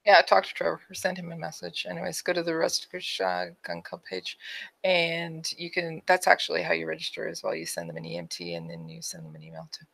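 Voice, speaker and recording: A woman's voice: low voice, very animated, brisk, gravelly, fairly bright tone. A woman with a Canadian accent co-hosting a podcast, chatting casually and reading out announcements. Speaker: podcast co-host.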